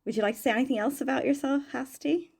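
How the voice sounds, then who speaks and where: A woman's voice: sits high, fast talker, gravelly, a little mellow, husky. A woman hosting a podcast, introducing the show and asking questions in a friendly, plainspoken way. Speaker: podcast host. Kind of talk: podcast interview.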